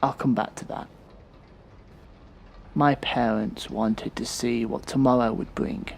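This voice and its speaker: An actor playing a weary, resentful man of about thirty in an audio drama, speaking in a bitter, sardonic and deliberate way. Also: medium pitch, steady tone, vocal fry, slight background noise. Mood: resigned. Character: weary, resentful thirty-year-old man confiding in a therapist. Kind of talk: audio drama acting, monologue.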